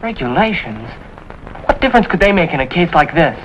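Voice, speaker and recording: An actor playing an earnest young American Navy recruit who speaks hesitantly, in 1950s television drama style. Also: male voice, on the high side, very animated, a little fast, a bit bright. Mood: desperate, pleading. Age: young adult. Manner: hesitant, repeats himself earnestly. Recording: dull, noisy 1950s television soundtrack.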